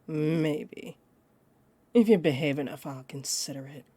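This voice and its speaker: A woman playing a possessive, condescending, centuries-old female vampire in an audio roleplay, speaking to the listener in a haughty, amused manner that drifts toward breathy murmurs. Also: low-pitched, measured pace, mellow, no echo. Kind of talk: roleplay audio drama monologue, addressed to listener.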